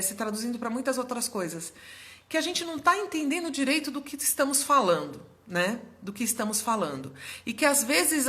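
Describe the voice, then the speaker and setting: Woman, young adult, mentor host on a live stream; bright tone, a bit hoarse, chatty and explanatory, keeps checking in with viewers. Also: fairly high pitch. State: slightly hoarse.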